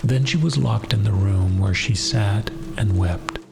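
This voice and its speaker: A man reading a scripted fairy tale as an audiobook narrator, in a measured, hushed bedtime manner. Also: on the low side, gravelly. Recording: music behind the voice. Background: music.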